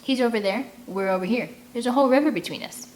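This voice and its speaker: A woman's voice: on the high side, quick pace, pitched above the performer's own voice, lightly acted, rough recording. A woman voicing a feisty, defensive young girl scout with an indignant manner in a storybook read-aloud.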